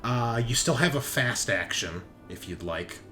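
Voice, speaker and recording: A man's voice: mid-range voice, lively intonation, a little slow, pushed and bright, faint music behind. A man narrating a tabletop roleplaying game as game master, casual and conversational, with some swearing. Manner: informal, profane, conversational.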